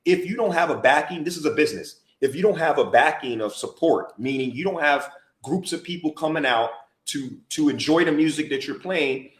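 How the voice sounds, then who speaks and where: A man's voice: a little high, animated, fairly quick, fairly bright tone. An American man co-hosting a casual podcast chat, talking emphatically and repeating himself for emphasis. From United States. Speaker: podcast co-host and DJ. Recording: roomy echoey podcast audio.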